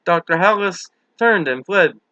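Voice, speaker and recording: A man's voice: sits high, lively intonation, a little slow, bright, forceful tone, no echo, highs cut off. A man reading a novel aloud as scripted dramatic narration. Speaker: audiobook reader.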